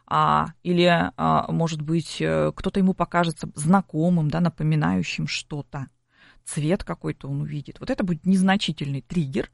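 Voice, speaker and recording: A woman's voice: sits low, fairly even intonation, normal speed, creaky, no room sound, studio quality. A middle-aged woman explaining things as an expert guest in an interview, in a didactic way with rhetorical questions. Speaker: psychology expert guest on a broadcast.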